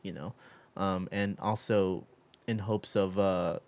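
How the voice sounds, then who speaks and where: A man's voice: low voice, fairly even intonation, takes it slowly, a little mellow, clear, resonant tone. Man hosting a casual, rambling podcast. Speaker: podcast host.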